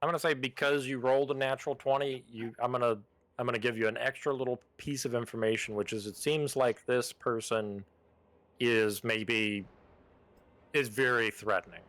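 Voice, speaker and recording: A man narrating as game master of a tabletop game, loose and halting with many fillers. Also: medium pitch, fairly even intonation, a bit bright, no echo.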